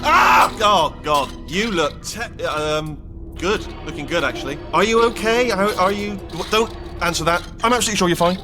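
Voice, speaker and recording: Man playing a flustered, high-pitched British robot helper. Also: normal speed, music in the background, lightly acted. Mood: flustered reassurance. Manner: rambling, stammering, blurting and backtracking. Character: chatty, panicky, bumbling male robot helper. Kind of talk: comic video game character acting.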